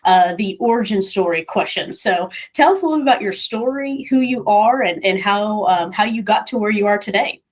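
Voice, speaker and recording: A woman's voice: mid-range voice, moderate pace, few pauses. A woman co-hosting a podcast and putting questions in a casual, conversational way. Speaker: podcast co-host. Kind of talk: casual interview chat.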